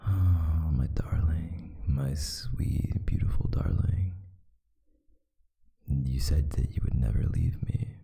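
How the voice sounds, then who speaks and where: A whispering, extremely deep vampire boyfriend played by a man, brooding and tender in an intimate ASMR roleplay. The voice is very dark and breathy, full of remorseful devotion, murmured with long pauses. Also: full character voice. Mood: tender, adoring.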